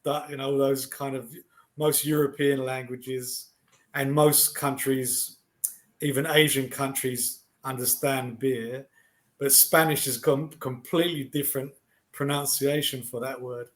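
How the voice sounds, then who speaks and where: A man chats loosely on a video call, laughing easily and trailing off into fillers. His voice sits at an ordinary, middle pitch for a man. He sounds like an online tutor in a casual lesson. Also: unhurried. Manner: relaxed and chatty, laughs often, trails off with fillers.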